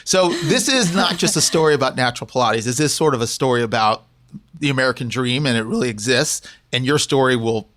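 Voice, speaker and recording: A man's voice: medium pitch, fairly bright tone, no echo. A man hosting an interview, friendly and encouraging, prompting his guest with questions. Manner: friendly, encouraging, quick short replies. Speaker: podcast host. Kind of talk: casual interview.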